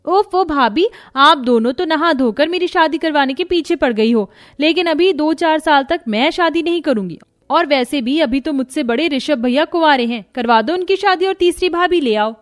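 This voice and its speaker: A woman's voice: high voice, animated, normal speed, voice pushed up. A woman voicing a haughty, spoiled young unmarried sister-in-law in a cartoon, with whiny, sneering taunts. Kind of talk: cartoon voice acting.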